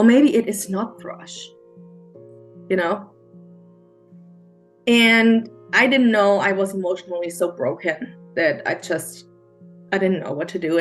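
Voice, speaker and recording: A middle-aged woman with a German accent, a mindset coach, telling her story as an interview guest in a halting, earnest way with many restarts. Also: mid-range voice. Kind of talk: emotional personal storytelling interview.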